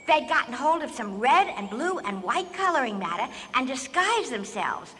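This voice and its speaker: A woman's voice: high voice, sing-song, normal speed, pushed and bright, husky, in a large hall, heavily acted. A woman playing a naive, fretful female alien in a comic radio drama, politely earnest and full of questions. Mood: worried. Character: naive, fretful blue-green female alien from a distant planet. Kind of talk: comic radio theater acting.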